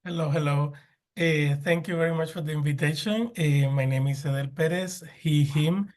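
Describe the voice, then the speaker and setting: A man's voice: on the high side, steady tone, normal speed, darkish tone. A man from Cuba, presenting as a plant pathologist panelist in a webinar, with many restarts and fillers.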